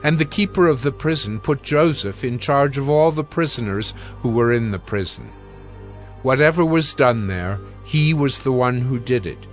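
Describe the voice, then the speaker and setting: A male narrator with a medium-pitched voice reads scripture. His delivery is solemn and measured, with music playing underneath. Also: lively intonation.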